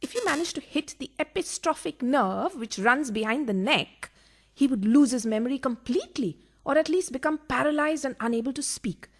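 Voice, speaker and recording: An actress playing a scheming, theatrical mistress in a farcical radio play, coaxing and easily thrilled. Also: female voice, high voice, expressive, moderate pace.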